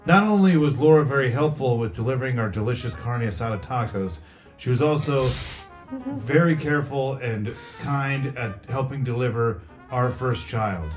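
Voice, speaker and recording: A man's voice: medium pitch, moderate pace, faint music behind. A male podcast host reading reviews aloud with mock-earnest drama in casual comedic chat.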